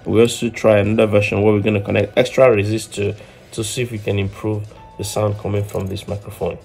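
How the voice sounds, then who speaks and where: A man's voice: on the low side, level tone, fast, gravelly, darkish tone, faint music behind. A man in his thirties giving an informal hands-on tutorial as host, explaining step by step and often repeating words as he goes. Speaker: host of an electronics DIY channel.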